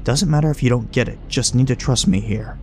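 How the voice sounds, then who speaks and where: A man's voice: mid-range voice, brisk, darkish tone, slight background noise, lightly acted. A man playing a wry, teasing creature that passes as a human mentor in a horror audio drama. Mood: coaching. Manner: wry, breezy, teasing, coaching. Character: flippant, chummy man who is secretly a swarm of bugs.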